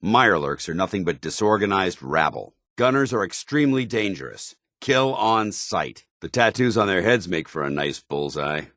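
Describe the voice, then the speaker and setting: An actor playing a stern, zealous soldier companion in a video game, with an American accent and a formal, earnest military delivery. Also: male voice, sits low, animated, moderate pace, hardly any pauses, a bit bright, no echo, studio quality. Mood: disgusted, then grim.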